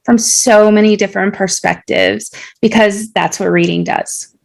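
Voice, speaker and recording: A woman's voice: mid-range voice, steady tone, measured pace, gravelly. A middle-aged woman, an educator and writer, co-hosting a podcast in casual chat, enthusiastic and often repeating her phrases.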